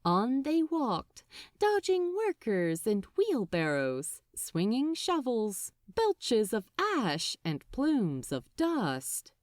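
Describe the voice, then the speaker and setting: Woman reading a story out loud, super swoopy pitch, takes her time, real dry close sound. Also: huge pitch swings, drawn-out words, no room sound. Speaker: fan fiction narrator. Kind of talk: expressive storybook narration.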